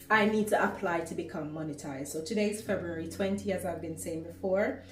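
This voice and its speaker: A woman's voice: medium pitch, darkish tone, far from the mic. A Jamaican woman in her twenties talking casually about her life while she cooks at home, chatty and rambling with small restarts. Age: 20s. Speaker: small YouTuber. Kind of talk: casual talk while cooking. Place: home kitchen. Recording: roomy, from off the mic, faint music.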